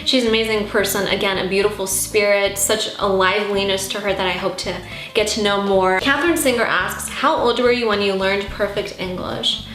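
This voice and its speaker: A woman's voice: medium pitch, steady tone, fairly quick, fairly bright tone. Young woman vlogger in an echoey room. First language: Armenian. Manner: earnest, encouraging. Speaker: YouTube host answering viewer questions. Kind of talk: casual question-and-answer vlog. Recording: roomy echo, music behind.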